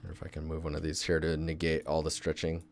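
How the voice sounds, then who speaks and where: A man's voice: extremely low pitch, completely flat delivery, quick pace, gravelly, slight background noise. A young man from Seattle giving a laid-back live-stream tutorial at his desk, thinking aloud and answering chat as he works. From Seattle, Washington State, US. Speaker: game environment artist streaming. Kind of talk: casual live-stream tutorial, chatting with viewers.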